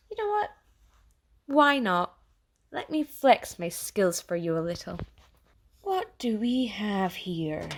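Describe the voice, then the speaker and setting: A woman's voice: fairly high pitch, exaggerated voice. A woman playing a chatty, sarcastic, easily flustered AI program in an audio drama, talking casually and stammering when rattled. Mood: breezy, teasing. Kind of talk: audio drama monologue in casual second-person address.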